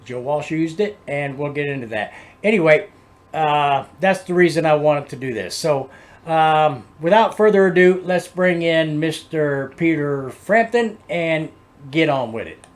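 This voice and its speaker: A man's voice: a little high, expressive, measured pace. A man hosting a music reaction channel, chatting casually and explaining things in a rambling, unscripted way. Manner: rambling, loosely improvised.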